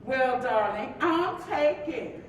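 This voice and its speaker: A woman acting a sassy, chatty married friend from the 1950s in a staged play reading, telling things in an animated, gossipy way with folksy endearments. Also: slow pace. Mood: teasing. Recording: echoey hall from far off, faint rumble.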